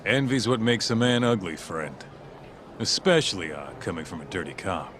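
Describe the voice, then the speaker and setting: A man's voice: low-pitched, very animated, normal speed, a bit bright, a little hiss or hum. An actor playing a brash, needling male detective's sidekick, blunt and sarcastic, in an English video game dub. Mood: mocking.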